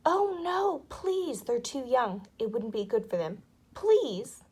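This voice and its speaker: A woman's voice: on the high side, very animated, takes it slowly, a little mellow, husky, heavily acted. A woman voicing an inquisitive, polite, earnest little girl in a storybook, speaking expressively. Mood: pleading. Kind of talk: storybook character voice.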